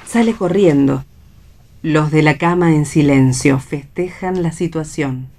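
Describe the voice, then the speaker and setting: A woman's voice: low-pitched, measured pace, background noise. A woman with an Argentine accent reading the stage directions of a play as scripted narration.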